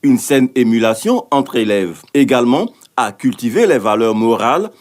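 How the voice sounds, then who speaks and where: A man's voice: medium pitch, expressive, normal speed, a little mellow. A man narrating a scripted news report as a television reporter.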